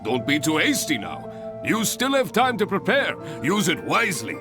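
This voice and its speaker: Casting: male enthusiastic game exam master, higher-pitched, swooping theatrical delivery, music underneath. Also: huge pitch swings, moderate pace. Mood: measured, considerate. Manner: grandiose, theatrical, instructive. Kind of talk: video game character acting.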